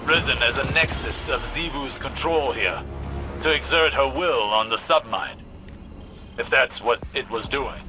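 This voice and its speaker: A man's voice: medium pitch, measured pace, over music, close to an everyday voice. Man acting a stern, commanding warlock scholar. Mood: pensive. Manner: formal, commanding, theatrical. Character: stern, scholarly elder male warlock strategist. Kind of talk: scripted game dialogue.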